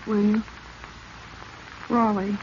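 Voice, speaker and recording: A woman's voice: mid-range voice, flat delivery, very slow. Casting: frank, dissatisfied young woman, 1940s radio style, dulled hissy sound. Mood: firm, frank. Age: young adult. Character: discontented, outspoken young daughter of a professor. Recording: muffled, hissy vintage radio recording.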